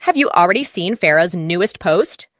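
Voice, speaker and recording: A woman's voice: fairly low pitch, expressive, moderate pace, a bit bright, no room sound, studio quality. A woman playing a gossipy young female friend in a romance audiobook. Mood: urging. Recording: music bed behind the voice.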